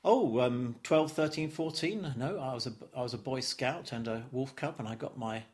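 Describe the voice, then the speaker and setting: A man's voice: mid-range voice, moderate pace, darkish tone. A man in his seventies from London, giving an oral history interview, reflective and stop-start, repeating words and chuckling as he remembers. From London, England. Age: 70s.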